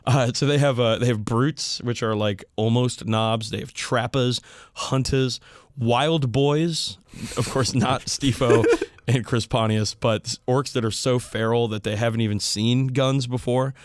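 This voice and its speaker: A man's voice: mid-range voice, steady tone, a little slow, no echo. A man hosting a podcast, explaining things in a casual, enthusiastic hobbyist way. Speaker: podcast host.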